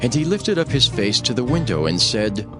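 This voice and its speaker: A man's voice: medium pitch, sing-song, moderate pace. A man narrating scripture as a solemn storyteller in a dramatized reading. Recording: music under the voice.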